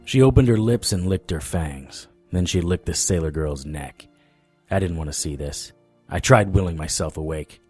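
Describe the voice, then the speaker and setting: A man's voice: low voice, moderate pace, darkish tone, husky, soft background music. A man playing a haunted, dogged supernatural investigator, telling his story in first person in a hard-boiled audio drama style. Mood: grim, narrating.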